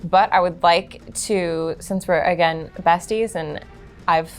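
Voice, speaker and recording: A woman's voice: on the low side, fairly even intonation, slow pace. A woman playing a tabletop role-playing game, talking casually and informally with lots of "like". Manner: informal, frequent "like". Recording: music behind the voice. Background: music.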